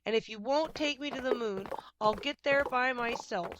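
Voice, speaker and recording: A woman's voice: fairly high pitch, normal speed, very noisy, voice pushed up, natural voice. A woman voicing a stubborn, dramatic female cow from a picture book, with an emphatic, complaining manner. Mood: wailing. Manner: emphatic, wailing and grumbling.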